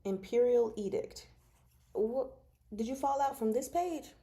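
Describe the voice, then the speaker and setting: A woman hosting a casual book discussion, speaking from notes in an animated, giggly, self-interrupting way. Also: a little high, a little slow. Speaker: host of a book discussion show. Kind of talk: casual fan discussion, reading from notes and comments.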